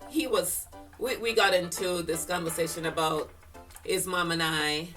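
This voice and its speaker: A woman's voice: lowish voice, fairly even intonation, a little slow, a bit bright, far from the mic, faint music behind. An older woman with a Jamaican accent, chatting casually to camera with lots of fillers and a slightly stuffy, congested voice. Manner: chatty, rambling, with many fillers and laughs. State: congested sinuses. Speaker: fashion vlogger.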